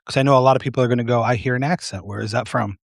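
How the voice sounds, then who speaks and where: A man's voice: medium pitch, steady tone, rapid-fire, gravelly, no room sound, clean studio sound. A man hosting a casual live interview on a video call, rambling and self-deprecating with many fillers. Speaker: host.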